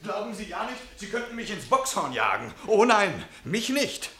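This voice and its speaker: A man's voice: high-pitched, sing-song, moderate pace, fairly bright tone. An actor playing a defiant café guest in a radio play, mocking and blustering as he talks. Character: scornful, defiant male café guest bent on amusement. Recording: echoing room.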